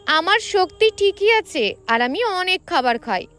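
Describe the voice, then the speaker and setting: A woman's voice: very high-pitched, big pitch swings, measured pace, pushed and bright, soft background music, voice pushed up, heavily acted. A woman narrator voicing a feisty, reluctant young romantic heroine who protests defiantly in an audio story.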